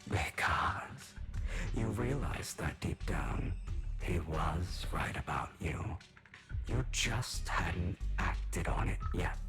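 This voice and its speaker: Dark male inner self, tempting, menacing half-whisper, slow and low; game music underneath. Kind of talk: video game cutscene voice acting.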